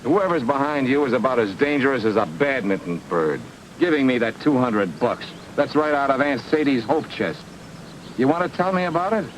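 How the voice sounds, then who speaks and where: Actor as wisecracking noir detective, swinging pitch. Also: male voice, expressive, moderate pace, background noise, natural voice. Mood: needling. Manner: sardonic, dry, slangy. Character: wisecracking, hard-boiled male private investigator. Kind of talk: film noir radio drama acting.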